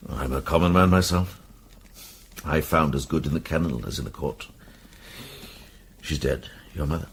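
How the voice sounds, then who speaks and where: An actor playing a kindly, worldly old medieval monk in a radio drama, measured and gently probing. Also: male voice, a bass voice, slight background noise. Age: older.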